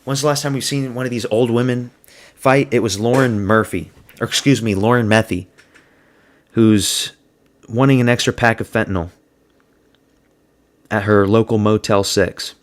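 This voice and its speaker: Man, lowish voice, blunt and crude, slangy, casual fight review. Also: fairly even intonation, normal speed, pauses often. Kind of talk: casual solo commentary.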